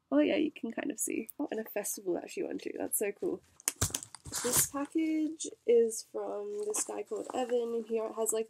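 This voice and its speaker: A woman's voice: on the high side, vocal fry, warm tone, a little hiss or hum. A young woman vlogger, congested from a cold, chatting bubbly and casually while unboxing packages. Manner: chatty, bubbly, lots of fillers and likes.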